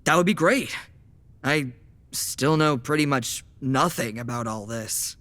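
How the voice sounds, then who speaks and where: A man's voice: mid-range voice, animated, a little slow, a bit bright, dry recording. Man acting a sullen young vampire, dry sound. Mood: eager, wry. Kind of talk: audio drama acting.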